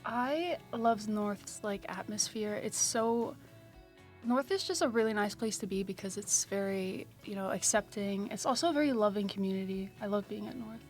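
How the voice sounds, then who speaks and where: A teenage girl from South Africa, a high school student, answering interview questions shyly and softly. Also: moderate pace, faint music behind. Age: teens.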